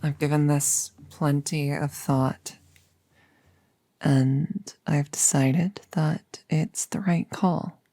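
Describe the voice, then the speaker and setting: Woman acting a low, gravelly, earnest girlfriend. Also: fairly even intonation, extremely slow, no room sound, clean studio sound, exaggerated voice. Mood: nervous, hesitant. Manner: hesitant, rambling, earnest, softly sighing. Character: nervous, resolute woman about to become a vampire. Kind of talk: intimate ASMR audio roleplay.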